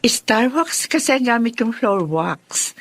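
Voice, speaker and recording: An actress playing a lively, chatty aunt who welcomes her nephew, in a radio drama. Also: fairly high pitch, takes it slowly, exaggerated voice. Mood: animated. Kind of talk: Filipino radio drama acting.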